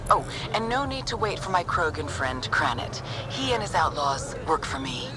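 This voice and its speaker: A woman's voice: sits low, very animated, moderate pace, noisy background. A woman acting a haughty, calculating outlaw boss in a video game, speaking in a formal, smug manner. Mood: smug, commanding. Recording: loud vehicle ambience over voice.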